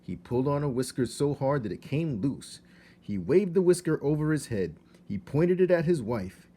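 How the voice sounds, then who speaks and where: A man narrating a children's storybook aloud in an expressive, dramatic read-aloud style. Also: a little high, moderate pace.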